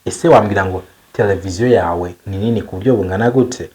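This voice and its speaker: A man with a Rwandan accent, a native Kinyarwanda speaker, teaching a bilingual English lesson, setting out example phrases deliberately and translating them. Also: low-pitched, a little mellow.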